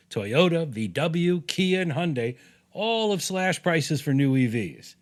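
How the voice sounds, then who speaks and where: An American man reading his own opinion piece aloud in a punchy, sarcastic, salty-tongued way, as a podcast host. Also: fairly high pitch.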